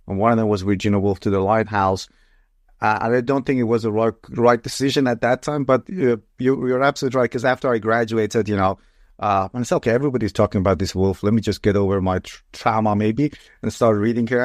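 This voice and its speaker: A man's voice: lowish voice, dry recording, studio quality. Hesitant man. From Iran. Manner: friendly, hesitant, many restarts. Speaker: podcast host. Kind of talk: interview conversation.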